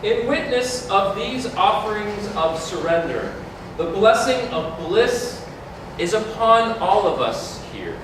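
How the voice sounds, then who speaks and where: A man playing a solemn, rapturous officiant at a mystical wedding, intoning a reverent, drawn-out blessing in a stage reading. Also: sits high, very animated, full character voice. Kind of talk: ceremonial staged reading with slow sung chanting. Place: community hall stage. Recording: very echoey hall, distant mic, faint noise.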